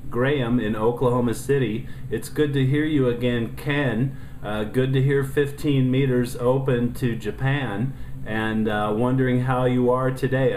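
American male radio hobbyist, courteous and measured, mid pitch, echoey room. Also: a little mellow. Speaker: amateur radio operator. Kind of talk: casual ham radio contact. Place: indoor room beside a transceiver. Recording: roomy sound with faint noise.

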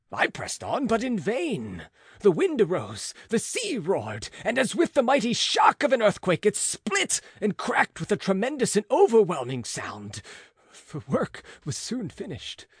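Theatrical, husky man reading gothic fiction. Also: high-pitched, no room sound, studio quality. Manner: theatrical, emotive, with drawn-out words and dramatic pauses. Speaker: audiobook reader. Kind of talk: dramatic literary narration of a gothic novel.

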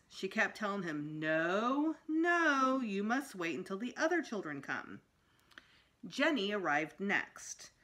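Bright, animated woman reading aloud. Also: mid-range voice. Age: middle-aged. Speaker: school storytime reader. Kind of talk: expressive read-aloud of a children's book. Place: small indoor room at home.

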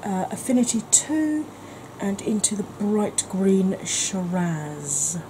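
A woman's voice: medium pitch, very animated, slow pace. Chatty woman with a background hiss. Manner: chatty, talks through each step, drops to soft near-whispers. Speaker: makeup vlogger. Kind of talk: chatty makeup tutorial narration. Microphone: camera close to the face.

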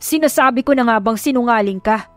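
A woman's voice: fairly high pitch, fairly quick, no room sound, soft background music, lightly acted. A woman voicing a tough, threatening male gangster in an audiobook, blunt and confrontational. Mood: demanding.

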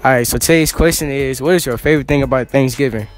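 A man's voice: medium pitch, fast, soft background music. A teenage boy hosting casual school hallway interviews, joking and teasing in slang, holding a phone as a mic. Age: teens. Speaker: student interviewer, channel host. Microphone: handheld phone mic.

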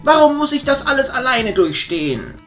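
A woman's voice: sits high, sing-song, normal speed, fairly bright tone, faint music behind. A woman voicing a brusque young male hero in an amateur radio play, speaking bluntly and defiantly.

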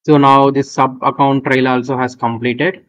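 A man with an Indian accent presenting an unscripted software walkthrough, halting and repetitive as he gives step-by-step instructions. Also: medium pitch, flat delivery. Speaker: tutorial presenter. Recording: dull lo-fi voice recording.

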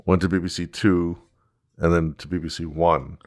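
Very deep-voiced man, halting and casual. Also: flat delivery, darkish tone. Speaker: podcast host. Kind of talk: casual fan discussion.

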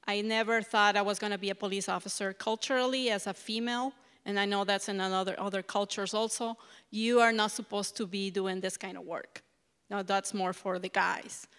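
Clear-voiced Ecuadorian-accented woman on stage. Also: mid-range voice, fairly even intonation, a little fast, a bit bright. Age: middle-aged. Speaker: police sergeant, panel speaker. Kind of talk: personal career presentation to an audience. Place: stage of an auditorium. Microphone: handheld microphone. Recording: reverberant hall sound.

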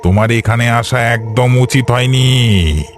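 A man's voice: mid-range voice, slow pace, lightly acted. A sinister horror-cartoon servant acted by a man. He drawls out his words with mock politeness and menace, music playing underneath. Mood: quiet menace. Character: sinister servant cornering a young master.

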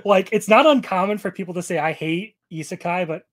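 A man's voice: high-pitched, brisk. A man hosting a casual group chat with teasing banter. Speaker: podcast host.

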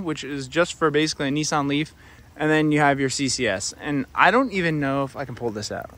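A man's voice: a little high, pushed and bright, slight background noise. A young man in his twenties casually narrating a road trip vlog, explaining things as he films from the car and outdoors. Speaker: vlogger. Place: inside a car at night, then outdoors at a charging station in the rain.

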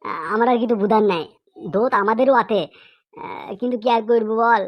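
Woman as earnest cartoon pupil, high voice, hesitant, curious, dry sound, comedy dialogue. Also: no echo, dull audio, lightly acted. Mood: agreeing. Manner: hesitant, halting questioning.

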